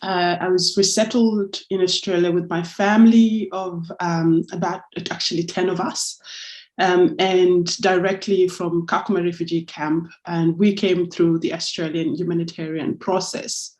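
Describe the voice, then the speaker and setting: A woman in her thirties, a lawyer and advocate, giving a thoughtful public talk at a measured pace with a few fillers. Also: fairly low pitch, level tone, darkish tone.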